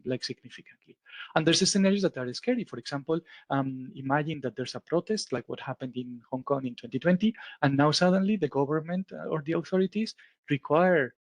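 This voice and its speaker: A man's voice: a little high, dry recording. A man with a Spanish accent giving a conference talk, explaining step by step with many fillers and frequent "right?" checks. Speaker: lecturer at a symposium. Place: lecture hall.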